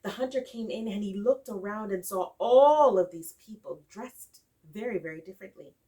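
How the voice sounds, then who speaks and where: A woman telling a folktale in an expressive, dramatic style with deliberate phrasing and emphatic repetition. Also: medium pitch. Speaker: storyteller. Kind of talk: expressive oral folktale storytelling. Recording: distant microphone.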